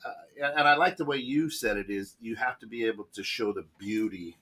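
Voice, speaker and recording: A man from Poland, hosting a casual talk radio chat with halting restarts. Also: lowish voice, pushed and bright. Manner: halting, with many restarts and laughs. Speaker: radio show host.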